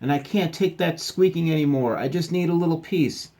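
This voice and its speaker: A man's voice: on the high side, a little fast, dark tone, voice pushed up, natural voice. A man voicing a bossy, grumpy dog pirate captain from a storybook, with blustery, exclaiming pirate talk. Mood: exasperated.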